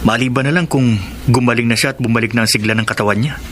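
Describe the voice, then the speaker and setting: An actor playing a dutiful, teasing older brother of twenty in a radio drama. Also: male voice, medium pitch, fairly even intonation, quick pace, a bit bright, a little hiss or hum, close to an everyday voice. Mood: defensive. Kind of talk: Tagalog radio drama acting.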